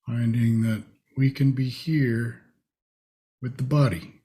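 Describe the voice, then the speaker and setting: A man leading a guided meditation, speaking in short, spacious phrases with long pauses. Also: mid-range voice, steady tone, mellow.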